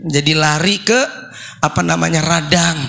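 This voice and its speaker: A man, hoarse after losing his voice, preaching a comic sermon and calling out questions to the congregation. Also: a little high, normal speed. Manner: joking, lively, calls out questions to the crowd. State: hoarse, recovering from lost voice. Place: religious gathering.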